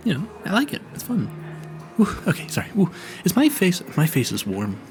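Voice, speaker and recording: A man's voice: sits high, wildly swooping pitch, a little fast, faint music behind. A man playing an awkward, smitten young vampire hunter in a romantic audio roleplay, stammering nervously and apologizing politely. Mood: shyly smitten, then embarrassed.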